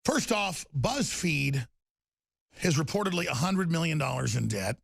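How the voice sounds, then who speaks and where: A man hosting a talk radio show, raspy and torn-up in tone, rambling emphatically with loud bursts and low mutters. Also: big pitch swings, a little slow, no room sound, clean studio sound. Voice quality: raspy, gravelly voice. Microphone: studio microphone.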